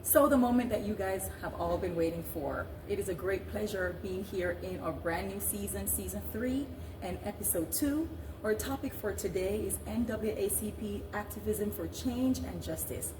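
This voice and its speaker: A woman's voice: mid-range voice, moderate pace. A woman hosting a talk show in an announcing, presenter-like manner. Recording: roomy echo, distant mic, clear background noise.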